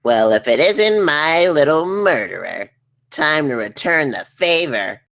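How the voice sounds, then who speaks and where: Gloating, taunting mutant villain played by a woman, bright and forceful, hugely animated, comedy table read. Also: deep voice, unhurried, heavily acted. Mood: menacing. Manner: taunting, theatrical. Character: spiteful, gloating male villain turned crab-like bug mutant.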